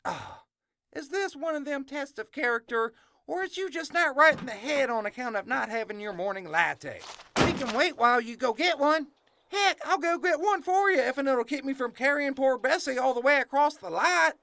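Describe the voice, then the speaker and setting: A performer playing a young, sarcastic, excitable country character with folksy phrasing in a comedy audio drama. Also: sits high, moderate pace, vocal fry, exaggerated voice. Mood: grumbling, impatient. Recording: faint ambient noise behind.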